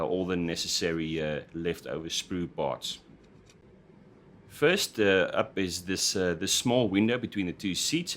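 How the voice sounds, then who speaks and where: Deep-voiced man hosting a modeling tutorial. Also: fairly bright tone, a little hiss or hum. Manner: hesitant, frequent "uh" fillers and restarts. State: slightly croaky after illness. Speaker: scale model building host. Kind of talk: tutorial voice-over. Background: background music.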